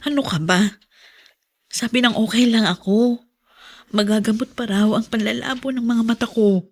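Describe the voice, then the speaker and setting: A woman with a Filipino accent acting a gentle, self-effacing middle-aged mother in a radio drama, hesitant and brushing off concern. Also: on the high side, normal speed. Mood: evasive. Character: ailing, self-effacing mother who hides her worries. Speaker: actress in a drama.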